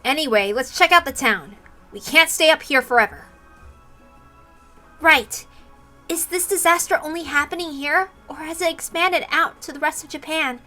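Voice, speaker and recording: A woman's voice: high voice, moderate pace, pushed and bright, soft background music, voice pushed up. A woman voicing anxious young characters from an anime visual novel in urgent, earnest dialogue. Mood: tense, determined.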